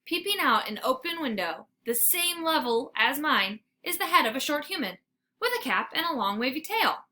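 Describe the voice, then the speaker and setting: Female children's read-aloud narrator: teacherly, expressive, highish and sharply bright. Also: moderate pace. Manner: animated, theatrical.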